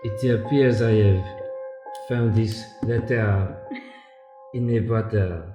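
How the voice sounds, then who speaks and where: In a roomy space with faint music, a man acts out a coquettish young chef in an improvised radio play. He is a needy, theatrical lover with a middling pitch and a slow, drawn-out, pleading delivery. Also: fairly even intonation. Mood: melodramatic. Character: melodramatic 27-year-old coquettish male chef, needy lover.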